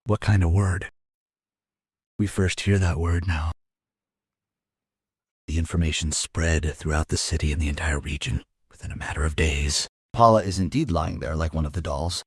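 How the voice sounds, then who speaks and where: A man playing a world-weary police investigator in a crime radio play. His deep voice is husky and recorded very dry, and he tells of the case in terse, deadpan phrases. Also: normal speed, pauses often, no echo, exaggerated voice. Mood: dry, sober. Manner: deadpan, terse, matter-of-fact. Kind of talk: crime audio drama narration.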